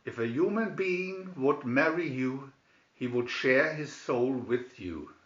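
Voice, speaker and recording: A man's voice: medium pitch, lively intonation, takes it slowly, echoey room, lo-fi sound. A man voicing a grave, fatherly old merman king in a storybook, explaining things solemnly.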